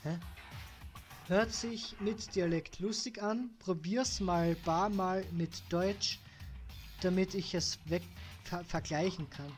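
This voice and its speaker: A woman's voice: lowish voice, slow pace, faint music behind. A woman in her twenties with a Styrian Austrian accent, reading game text aloud and chatting on a live stream. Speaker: let's play streamer.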